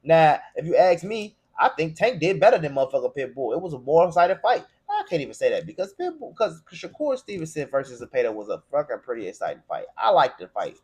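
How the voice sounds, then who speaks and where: A man's voice: fairly high pitch, very animated, fast talker, few pauses, darkish tone, breathy edge. An American man giving an opinionated, casual boxing commentary, arguing his points in profane slang.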